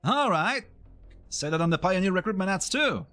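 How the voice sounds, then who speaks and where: A man playing an edgy, eager henchman in an amateur game fan dub, with a jumpy, animated way of talking. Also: high voice, moderate pace, soft background music. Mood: wary, sarcastic.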